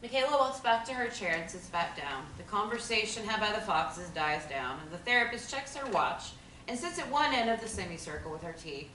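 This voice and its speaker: A woman reading the stage directions aloud in a plain, even delivery, her pitch ranging widely as she reads. She is heard from some distance in a roomy space with faint noise. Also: fairly quick.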